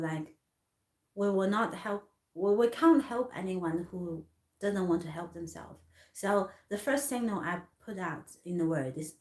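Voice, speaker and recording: A woman from Hong Kong in her thirties telling a personal story in halting English with many restarts. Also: lowish voice, darkish tone, roomy sound. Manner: halting, many restarts and fillers, non-native grammar. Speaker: artist vlogger.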